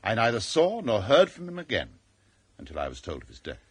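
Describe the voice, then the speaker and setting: A man's voice: fairly low pitch, huge pitch swings, a little fast, breathy edge, no room sound, full character voice. A man playing an earnest, authoritative British intelligence chief in a radio drama, formal and clipped in manner. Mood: earnest, brisk.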